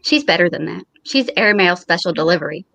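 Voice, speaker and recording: A woman's voice: on the low side, lively intonation, fairly quick, vocal fry, bright, forceful tone, dry recording, heavily acted. A woman playing a fussy, lovelorn and easily wounded mailman in old time radio comedy style, with dramatic indignation. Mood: lovestruck, wistful.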